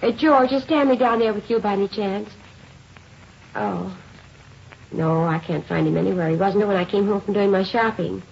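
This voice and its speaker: A woman's voice: mid-range voice, moderate pace, creaky, darkish tone, lo-fi sound, lightly acted. An actress playing a polite, worried mother in her early forties in a radio drama, hesitant and holding her anxiety in. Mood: anxious, controlled. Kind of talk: 1950s radio drama acting. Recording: hissy, band-limited old radio recording.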